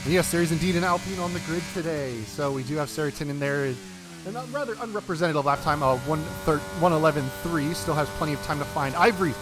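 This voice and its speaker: A man's voice: fairly high pitch, fast, music in the background, rough recording. A man with an American accent giving chatty play-by-play commentary on a sim racing league race. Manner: chatty play-by-play with fillers and self-corrections.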